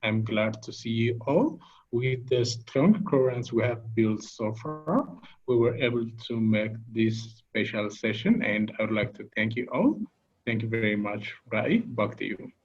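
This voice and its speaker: A man from Ethiopia speaks at a medium pitch, giving short formal remarks as a club officer. The online call sounds dull. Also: lively intonation, unhurried, soft background music. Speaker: club vice president, programmer. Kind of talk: formal short meeting speech.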